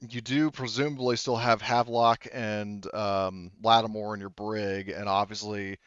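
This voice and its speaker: A man with a smooth, lowish voice runs a tabletop roleplaying game. He explains the situation haltingly, rewording as he goes. The recording is dry and a little dull. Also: fairly even intonation, fairly bright tone, no echo. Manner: halting, explanatory, circles back to rephrase. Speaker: game master.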